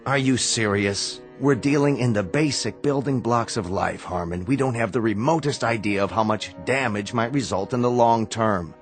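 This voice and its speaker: Actor as blunt, exasperated younger brother. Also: male voice, mid-range voice, moderate pace, few pauses, faint music behind, close to an everyday voice. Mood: urgent, adamant. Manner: emphatic, articulate, sardonic. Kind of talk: radio drama acting.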